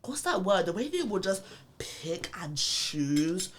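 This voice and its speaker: A woman's voice: lowish voice, takes it slowly, a little hiss or hum. A young woman with a London accent, a podcast guest telling stories in casual chat with lots of fillers and restarts. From born in Botswana, raised in London. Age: young adult. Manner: animated storytelling, many fillers and restarts.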